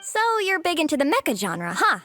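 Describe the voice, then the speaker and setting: Very high, feisty young girl. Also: very animated, fairly quick, sharp tone, a little hiss or hum, heavily acted. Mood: chatty. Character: spirited, feisty young girl, a keen book fan. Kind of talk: anime game voice acting.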